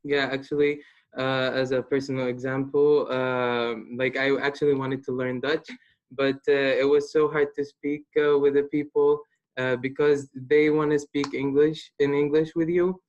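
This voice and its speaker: A nineteen-year-old man from Palestine presenting a webinar as a student, hesitant and pausing often. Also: medium pitch, level tone, a little mellow, muffled recording. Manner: hesitant, with many pauses.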